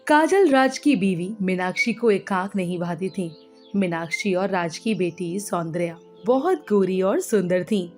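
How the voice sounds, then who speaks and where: A woman's voice: on the low side, lively intonation, measured pace, a little mellow, soft background music. A woman reading scripted narration as the storyteller of a moral tale.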